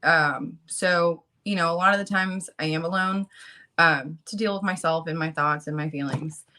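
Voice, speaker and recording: Woman, late twenties, bright lowish voice, earnest and halting, lots of fillers, just out of bed. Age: late 20s. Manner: earnest and halting, with many ums and you-knows. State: just woke up. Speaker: guest speaker on a channel about fighting child protective services. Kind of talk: casual talk, partly reading resources aloud.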